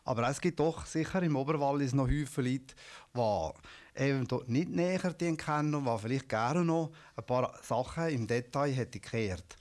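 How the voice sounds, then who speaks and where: A man's voice: medium pitch, darkish tone. A man, interviewing a guest on local radio in a formal, polite way.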